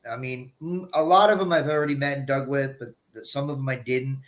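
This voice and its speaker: A man's voice: mid-range voice, fairly even intonation, a little fast. A man co-hosting a casual live stream, rambling through stories and repeating his words as he starts sentences.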